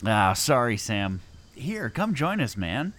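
A man playing an easygoing, friendly young camper in an audio drama, talking casually and a little haltingly. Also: mid-range voice, very animated, fairly bright tone. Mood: friendly, unsure. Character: easygoing, friendly young male camper who loves Frisbee.

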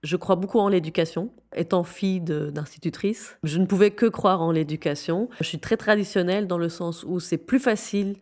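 The lowish-voiced woman guest on an interview show, earnestly pressing her points home. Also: fairly quick, hardly any pauses, darkish tone. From Syria. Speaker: interview guest, founder of a youth non-profit. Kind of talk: conversational interview.

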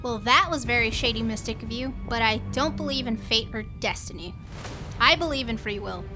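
A woman's voice: fairly high pitch, brisk, over music, natural voice. An actress playing a brash, wisecracking young woman space pirate in a sci-fi audio drama, sarcastic and defiant.

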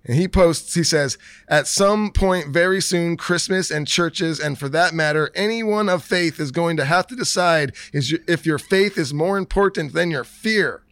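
A man's voice: fairly high pitch, a bit bright, no room sound. A man co-hosting a casual talk show who is losing his voice, hesitantly reading out a news article. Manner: hesitant, reads an article slowly. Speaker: podcast co-host.

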